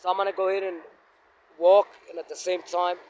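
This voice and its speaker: A man in his fifties from Afghanistan, telling his life story to his phone camera while walking in an outdoor park, speaking in a halting, reflective way with many fillers. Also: sits high, flat delivery, a little mellow. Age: 50s. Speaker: vlogger. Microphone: phone held facing himself.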